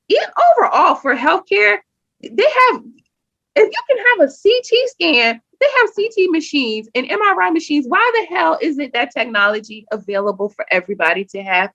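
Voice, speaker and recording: A woman guest on a podcast, telling a personal story in an animated, emphatic way and often acting out what people said. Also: sits high, no echo. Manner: animated, emphatic, acts out quoted speech. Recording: dull lo-fi sound.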